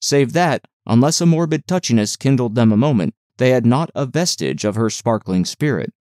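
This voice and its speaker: A man's voice: mid-range voice, moderate pace, no echo. A man reads literary narration for an audiobook. His mid-range voice moves expressively with very varied intonation, recorded dry and close. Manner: expressive storytelling.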